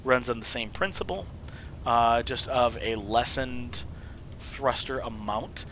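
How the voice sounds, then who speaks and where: A man with a cold talking casually through his builds in a game, his voice hoarse and sometimes fading to a whisper, rambling and correcting himself as he goes. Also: mid-range voice, takes it slowly, fairly bright tone, a little hiss or hum. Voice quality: hoarse, dropping to a whisper at times. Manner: rambling, self-correcting, jokey. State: voice mostly gone from illness, sniffling. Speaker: video host showing game builds. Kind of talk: casual gameplay walkthrough.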